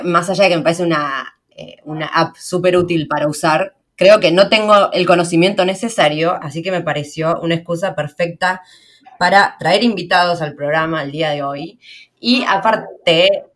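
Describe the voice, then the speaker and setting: Fast-talking Argentine woman podcast host. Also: on the low side, a bit bright. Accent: Argentine Spanish. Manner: fast, slangy, chatty with laughs. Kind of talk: casual podcast chat.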